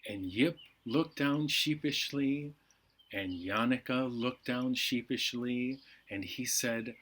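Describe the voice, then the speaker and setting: A man hosting a storytime, reading bedtime stories to children with unhurried, deliberate phrasing. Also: mid-range voice, a bit bright. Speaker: library storytime host.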